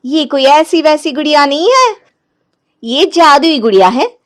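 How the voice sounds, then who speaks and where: A woman voicing a sly, gloating witch who poses as a healer, coaxing and boastful, for an animated moral story. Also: sits high, measured pace, voice pushed up.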